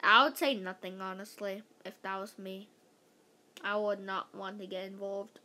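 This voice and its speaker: A female voice giving an animated read-aloud of a children's book, stumbling over words now and then and adding casual asides. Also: medium pitch, moderate pace.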